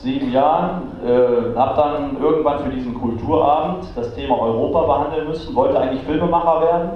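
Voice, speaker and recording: A man's voice: medium pitch, slight background noise. A man who works as a teacher, talking casually to a large audience in a theatre, rambling with lots of fillers. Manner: chatty, rambling, many fillers. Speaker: teacher giving a talk on stage. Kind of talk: casual stage talk. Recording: distant, roomy, muffled hall recording with crowd.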